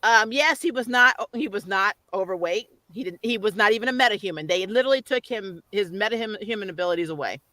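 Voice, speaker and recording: A woman's voice: medium pitch, animated, fast talker, hardly any pauses, sharp tone, no echo. A woman hosting a casual, opinionated TV recap chat, emphatic and exasperated, often breaking into laughter. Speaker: host of a pop culture review show.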